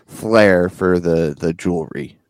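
A man's voice: deep voice, slow pace, no room sound, clean studio sound. A man co-hosting a casual podcast, mostly chiming in with short remarks. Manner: brief interjections, laughs along. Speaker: podcast co-host. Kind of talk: casual wrestling podcast chat.

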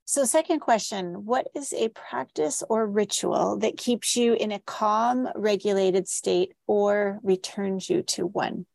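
A woman's voice: medium pitch, slow, creaky, few pauses, a little mellow, no room sound. A woman hosting a podcast interview, speaking in an affirming, conversational way. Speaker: podcast host. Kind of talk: casual interview talk.

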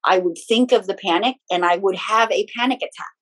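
A woman's voice: mid-range voice, brisk, fairly bright tone, slightly rough, low-quality recording. Chatty woman telling her story. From Philippines. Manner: chatty, frank, personal anecdotes with small laughs. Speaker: guest, spiritual teacher and author. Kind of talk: casual conversational storytelling.

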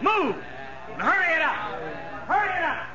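An actor playing a bossy prison guard barking clipped commands in a 1950s radio drama. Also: male voice, very high voice, big pitch swings, moderate pace. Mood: barking orders. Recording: dull old radio recording with crowd din.

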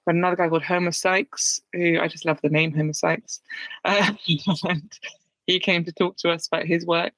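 A woman's voice: lowish voice, fairly even intonation, moderate pace, a bit bright, no echo, poor audio. British woman, 30s, chatty candid guest on a call, dry close sound. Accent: British English. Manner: candid, chatty, self-deprecating with laughs. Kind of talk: casual interview. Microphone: remote call.

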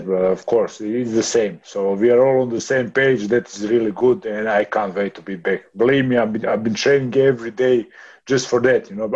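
A man's voice: medium pitch, a little mellow, highs cut off. Swearing man in his thirties, laughing often. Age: 30s. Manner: rough, swearing, laughs often, calls others brother. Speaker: boxer. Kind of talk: casual interview.

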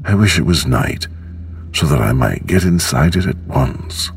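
A man's voice: rumbling low, animated, a little slow, vocal fry, exaggerated voice. A man voicing an eager, earnest, sweet little girl in a storybook. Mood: delighted, eager.

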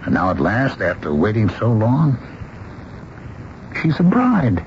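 A compassionate, earnest man in an old radio drama. His lowish voice rises and falls with impassioned feeling. The recording is muffled with slight noise. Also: wildly swooping pitch, slow, full character voice. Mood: earnest, consoling. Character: earnest, gentle, compassionate man consoling women.

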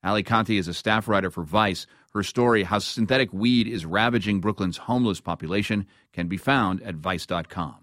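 A man hosting a radio news interview, moving between measured narration and questions to a guest. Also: on the low side, fairly bright tone.

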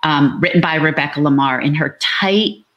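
A woman with a slightly low voice speaks as a novelist being interviewed. She is earnest and builds her points like stories, repeating phrases for emphasis. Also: moderate pace, highs cut off, crowd noise. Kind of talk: animated book talk on an online panel.

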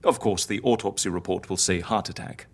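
A woman's voice: a bass voice, fairly quick, breathy edge, heavily acted. An actress playing a confident, theatrical woman who fakes deaths for clients, lecturing and coaxing in an audio drama. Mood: measured, scheming. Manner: didactic, encouraging, philosophical.

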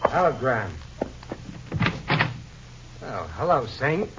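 A man's voice: mid-range voice, sing-song, extremely slow, exaggerated voice. An actor playing a threatening, sneering gunman in 1950s radio drama style. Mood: menacing. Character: Jake Florio, menacing gun-toting gangster thug. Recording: muffled, noisy vintage radio recording.

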